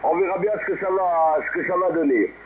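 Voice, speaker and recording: Radio ham through heavy static. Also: male voice, high voice, fairly quick. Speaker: amateur radio net controller. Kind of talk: casual ham radio chat, roll call. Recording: muffled, very noisy shortwave radio reception.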